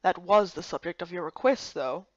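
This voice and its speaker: A woman voicing a calm, reserved man in a visual novel game, with a low-key, clipped way of talking. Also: moderate pace, dry recording, muffled recording, lightly acted. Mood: matter-of-fact. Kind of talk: visual novel character voice-over.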